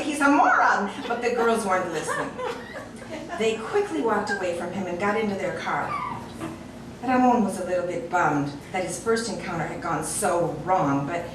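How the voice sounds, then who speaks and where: A woman reads a comic short story aloud with wry amusement, her pitch lively and bouncing. She sounds set back from the mic in a very echoey room, with steady noise underneath. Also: distant mic. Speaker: narrator reading a short story. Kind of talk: audiobook story reading.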